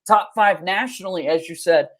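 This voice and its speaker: A man's voice: high voice, normal speed. A man hosting a sports podcast, talking in a polished, upbeat, announcer-like way as he introduces and interviews.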